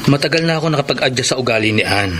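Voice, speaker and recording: A man's voice: mid-range voice, animated, very fast, noisy background, natural voice. A man acting a patient but frustrated boyfriend in a Filipino radio drama. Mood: frustrated, confiding. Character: long-suffering live-in boyfriend, patient but frustrated office worker.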